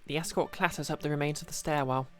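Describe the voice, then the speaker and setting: A man with a slightly high voice reads story narration aloud as a streamer. He drops in casual asides of his own, with music playing faintly behind him. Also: fairly even intonation, fast talker, fairly bright tone. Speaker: streamer reading a visual novel aloud.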